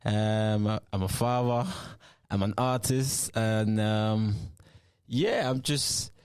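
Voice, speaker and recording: A man talks in a low voice, picking his words slowly with lots of "um" and "you know what I mean." The sound is close and dry, with no room around it. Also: steady tone, a little mellow, smooth, no room sound. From Nigeria. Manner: hesitant, many fillers and restarts. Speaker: musician guest. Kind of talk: casual interview.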